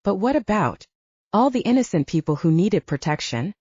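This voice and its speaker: A woman narrates a first-person novel with lively, swinging intonation. Her voice is soft-edged and mellow, a little low for a woman. The recording is very dry and somewhat dull, as in a lo-fi audiobook. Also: moderate pace, breathy edge, dry recording. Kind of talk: scripted first-person fiction narration with acted dialogue.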